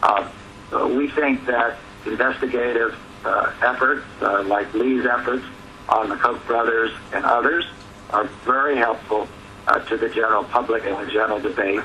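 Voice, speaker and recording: A man speaking as an advocacy spokesman on a press teleconference, in a halting, measured manner. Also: lowish voice, steady tone, pushed and bright. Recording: noisy conference phone line.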